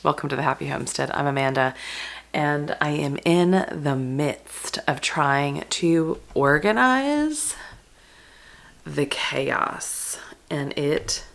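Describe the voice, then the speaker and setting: Hoarse, gravelly-voiced woman vlogger. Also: low-pitched, animated, slow pace, a bit bright. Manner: chatty, rambling with many ums. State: hoarse from a cold. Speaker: homestead vlogger. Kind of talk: casual walkthrough vlog. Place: converted dining room pantry, indoors.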